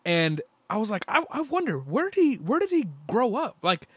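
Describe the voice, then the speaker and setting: A young man co-hosting a sports podcast, talking in an excitable, slangy way and repeating himself. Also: very high-pitched, moderate pace, slightly rough, no room sound. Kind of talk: casual basketball chat.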